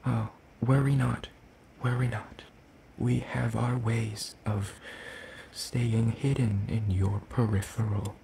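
A man playing a lanky, ancient, world-weary demon in a soft ASMR roleplay, speaking in a hesitant, breathy murmur with formal wording. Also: medium pitch, level tone, takes it slowly, a little hiss or hum. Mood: gentle, fond.

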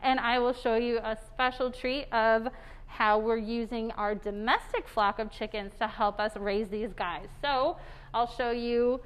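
A woman's voice: fairly high pitch, fairly even intonation, a little slow, clean tone. A young woman zookeeper, explaining things to viewers in a friendly way during a live stream from an indoor animal care room. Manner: friendly, explanatory, talks to viewers while working. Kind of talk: live behind-the-scenes zoo stream, answering viewer questions.

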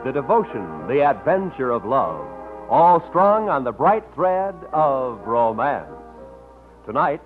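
A male American radio announcer with a fairly high, very animated voice. His delivery is polished and emphatic, in a thin vintage broadcast recording. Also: phone-quality sound. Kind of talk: 1950s radio announcing and sponsor ad read. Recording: thin, band-limited old radio recording.

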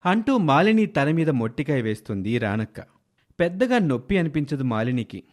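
A man's voice: a little high, big pitch swings, normal speed. A man narrating a scripted story as a storyteller.

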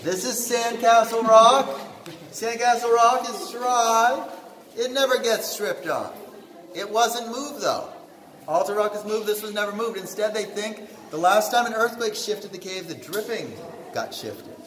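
A man's voice: high voice, unhurried, a bit bright. A man working as a cave tour guide, explaining things plainly to a group, picked up by a visitor's distant camera inside a cave. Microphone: distant handheld camera. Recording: echoey cave, distant handheld camera, noisy.

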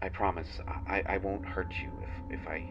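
A man voicing a kindhearted, haunted young banshee in a dramatic monologue, halting between phrases as if confessing. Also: low-pitched, steady tone, gravelly, dull audio, over music. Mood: somber, regretful. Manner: halting, hushed confession with long pauses. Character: kindhearted young male banshee, half-fey, haunted and menacing.

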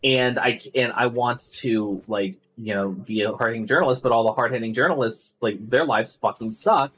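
A young man streaming a game from home on a headset, chatting loudly and casually, swearing and restarting often. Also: mid-range voice, normal speed, a little hiss or hum. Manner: loud, rambling, swears freely, many restarts. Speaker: streamer and podcast host. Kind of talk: casual chat while gaming. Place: home room at a gaming desk.

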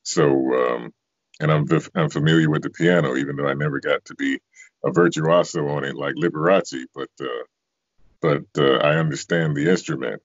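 A man's voice: extremely low pitch, fairly even intonation, moderate pace, no room sound. A man in his fifties, a singer and voice actor, answering interview questions in a reflective, conversational way. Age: 50s. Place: indoor room, video call. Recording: dull, lo-fi video call audio.

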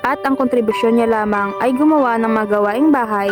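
A woman's voice: a little high, brisk. A woman with a Filipino accent narrating a scripted radio drama as a storyteller. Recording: music playing behind the voice. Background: instrumental music.

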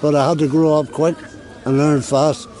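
A man's voice: on the high side, flat delivery, normal speed, a crowd in the background. An older man, coughing often and talking in a rambling way in a street interview on a busy city street. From Northern Ireland.

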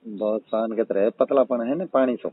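A man with a husky voice and a Mewati accent, chatting casually in a private phone call. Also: mid-range voice, moderate pace. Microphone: telephone. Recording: muffled, tinny phone call recording.

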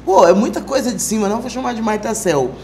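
A woman's voice: fairly high pitch, lively intonation, fast talker, a little mellow, a little hiss or hum. A Brazilian woman telling an anecdote casually as a podcast guest. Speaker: guest, samba singer. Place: small wood-panelled TV studio, indoors.

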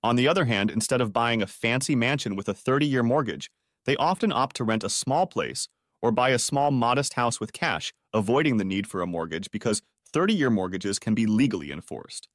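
A man presenting a scripted finance explainer in a didactic, measured manner with rhetorical questions. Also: medium pitch, animated, dry recording, studio quality.

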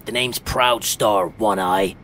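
A man's voice: lowish voice, slow, bright, forceful tone, a little hiss or hum. Man as brash, slangy comic-book hero. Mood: sarcastic. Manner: slangy, sarcastic. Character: brash, gruff, cocky young male superhero. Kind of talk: audio drama acting.

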